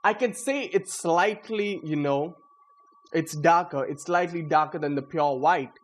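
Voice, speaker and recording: A young man giving a casual, slangy walkthrough of his code, excitable and self-deprecating. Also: fairly high pitch, a little slow. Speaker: coder presenting own project. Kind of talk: casual screen-recorded coding walkthrough.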